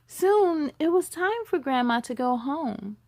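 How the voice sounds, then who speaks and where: A woman reading a children's storybook aloud as a storytime host, with expressive, emphatic delivery. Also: high voice, takes it slowly, dry recording. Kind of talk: animated children's storybook narration.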